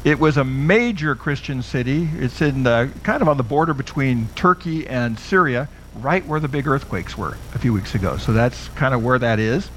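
A man's voice: medium pitch, animated, moderate pace, few pauses, a bit bright, a little hiss or hum. Man teaching a Bible class. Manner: conversational, asks the audience questions.

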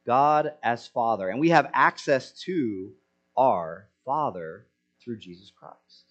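A man's voice: mid-range voice, lively intonation, slow, fairly bright tone, dull audio. A man preaching a sermon in an earnest way, asking rhetorical questions to the congregation. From Senegal. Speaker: pastor.

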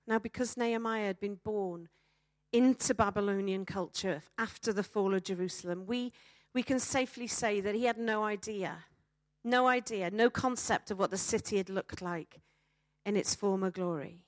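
A woman with a Welsh accent preaching a sermon to a congregation, speaking emphatically with rhetorical questions and dramatic pauses. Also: medium pitch, dry recording.

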